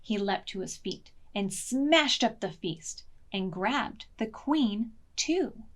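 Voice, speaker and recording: A woman reading a picture book aloud to preschoolers in an expressive, deliberate way, as a storytime librarian. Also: medium pitch. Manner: slow, deliberate, expressive, pausing to ask questions.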